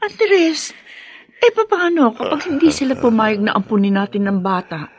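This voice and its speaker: A woman's voice: fairly high pitch, big pitch swings, moderate pace, a little hiss or hum. A woman acting an anxious, tender and doubtful wife in a Filipino radio drama, emotive and hesitant in conversation. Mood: worried, doubtful.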